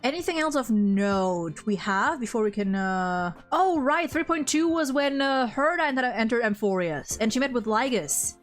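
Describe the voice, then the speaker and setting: A woman host in a casual livestream chat, talking in an animated, blunt way and swearing freely. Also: on the high side, normal speed, hardly any pauses, faint music behind. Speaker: host ranking game story quests with a guest.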